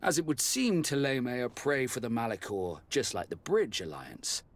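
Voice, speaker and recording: A man's voice: medium pitch, very animated, normal speed. A man acting a modest, forthright sailor in a video game cutscene. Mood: frank.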